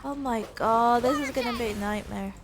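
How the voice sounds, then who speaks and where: A woman's voice: on the high side, moderate pace. A woman giving casual live reaction commentary as a gaming streamer. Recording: commentary over game music. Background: game music.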